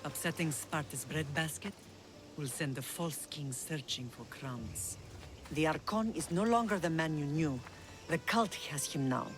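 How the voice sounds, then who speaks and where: An actress playing the fierce, vengeful mother of the hero in a game cutscene, who argues forcefully for bloodshed. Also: sits low, unhurried, over music. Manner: forceful, scheming.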